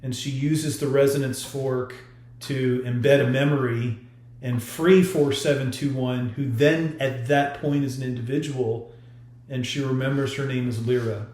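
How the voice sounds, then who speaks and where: A man speaking as an author in a podcast discussion, explaining haltingly with pauses to choose his words. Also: mid-range voice, fairly even intonation, darkish tone. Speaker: author discussing own story. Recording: roomy, echoey sound.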